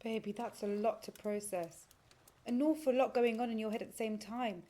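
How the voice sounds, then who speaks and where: An actress playing a supportive, affectionate, gently teasing girlfriend in intimate audio play dialogue. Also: fairly high pitch, normal speed, lightly acted. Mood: tender, proud. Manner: encouraging, affectionate.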